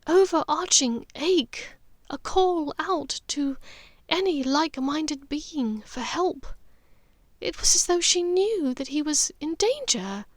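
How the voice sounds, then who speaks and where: A woman voicing a haunted, gently resolute witch mother in an audiobook, speaking earnestly and haltingly with care. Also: high voice, dry recording, voice pushed up. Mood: halting, urgent. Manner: halting, vehement, careful. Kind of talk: audiobook character dialogue.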